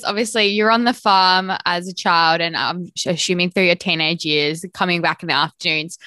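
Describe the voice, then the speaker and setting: A woman's voice: on the low side, fairly bright tone, dry recording. A woman interviewer asking questions in a curious, conversational way. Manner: inquisitive, conversational.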